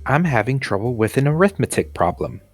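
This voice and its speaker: A man's voice: mid-range voice, very animated, brisk, breathy edge, dry recording, faint music behind. A man voicing a polite female school staff member in a children's storybook, with sing-song questioning. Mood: friendly, earnest.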